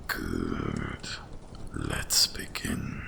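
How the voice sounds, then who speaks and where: A man voicing an ancient, cursed winter dragon in a hushed ASMR fantasy monologue, with a gravelly, breathy rumble and slow, mysterious storytelling. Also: completely flat delivery, full character voice. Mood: conspiratorial, knowing. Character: ancient cursed male winter dragon, wise, once proud, brooding.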